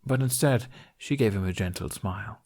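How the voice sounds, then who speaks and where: A man's voice: on the low side, moderate pace, a little mellow. A man reading a fantasy story aloud as a scripted audiobook-style narration. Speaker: podfic narrator reading fan fiction.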